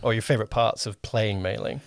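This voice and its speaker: A man's voice: on the low side, steady tone, fairly quick, gravelly, no echo, clean studio sound. A man hosting a podcast, chatting casually as an interviewer and often rephrasing as he goes. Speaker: podcast host and producer.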